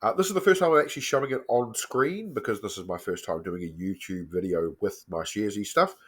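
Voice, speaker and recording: A man with a New Zealand accent talks in a somewhat low voice that is slightly croaky from a cold. His delivery is loose and chatty, full of ums and quick corrections as he points things out on screen. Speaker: personal finance vlogger. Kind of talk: casual screen-share walkthrough.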